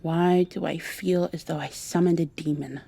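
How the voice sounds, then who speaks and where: A woman in an ASMR roleplay playing a gentle, wry young witch who coaxes her plants in a soft-spoken way. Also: low-pitched, fairly even intonation, moderate pace, creaky, very noisy. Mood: wry, muttering.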